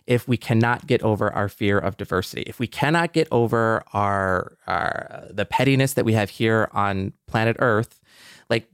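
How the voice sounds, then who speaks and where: An American man speaking reflectively and earnestly in a conversational podcast discussion, pausing and repeating words as he goes. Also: mid-range voice, a bit bright, no echo, studio quality. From metropolitan Detroit, United States. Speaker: podcast panelist.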